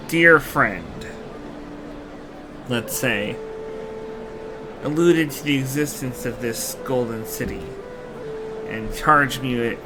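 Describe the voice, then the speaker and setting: Slow, hesitant man. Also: medium pitch, low-quality recording. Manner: hesitant, halting. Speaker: game voice dubber. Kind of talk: fan dub of a game.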